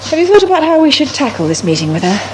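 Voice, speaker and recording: A brisk, practical farmer's wife with a plan up her sleeve. Her voice sits slightly high, acted naturally for radio drama, with clear noise on the 1980s recording. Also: big pitch swings. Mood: brisk, planning.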